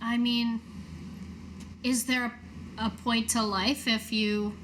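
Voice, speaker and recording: A woman playing a world-weary but kind adventuring captain in a tabletop game, halting and understated. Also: a little high, flat delivery, fairly bright tone, slight background noise. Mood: reflective, resigned.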